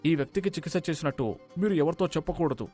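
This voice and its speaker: A gangster in hiding, acted by a man, high-voiced and quick, suspicious of everyone. He talks with urgent menace, as in an animated moral tale with music underneath. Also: natural voice. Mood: stern, threatening. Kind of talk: dramatic moral-story voice acting.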